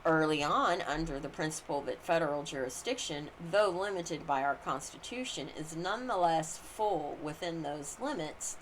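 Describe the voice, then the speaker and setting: A woman with a slightly low voice narrates a formal written text. She delivers it deliberately, phrase by phrase, with careful emphasis. The recording carries some light background noise. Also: lively intonation. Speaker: reader of a book on constitutional law. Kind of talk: scripted narration, reading aloud. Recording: clearly noisy amateur recording.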